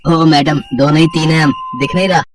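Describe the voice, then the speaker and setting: A man's voice: on the high side, fairly even intonation, moderate pace, far from the mic, lightly acted. A man playing a carefree young college student, mocking and slangy, in a horror audio story. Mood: irritated sarcasm. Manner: joking, dismissive, slangy.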